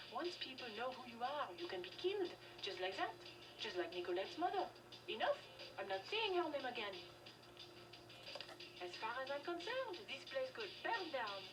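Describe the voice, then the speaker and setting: A woman playing a broke, scheming, resentful nightclub hanger-on in video game dialogue. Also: high-pitched. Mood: bitter, defiant. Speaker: game character voice actor. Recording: slightly distant game audio with music behind.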